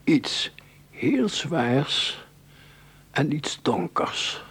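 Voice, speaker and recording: An actor playing a scheming, greedy, darkly poetic doctor in a radio play, musing deliberately. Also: male voice, fairly high pitch, very animated, fairly bright tone, breathy edge, heavily acted. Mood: polite. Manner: musing, deliberate, talking to himself. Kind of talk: radio play acting, interior monologue and dialogue.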